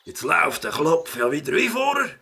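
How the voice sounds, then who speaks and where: A man playing a grumpy, stubborn motorbike rider in a children's audio drama, with a creaky voice, grudging drawn-out delivery and a Swiss accent. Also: a little high, sing-song, bright, forceful tone, full character voice. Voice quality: gravelly, creaky voice.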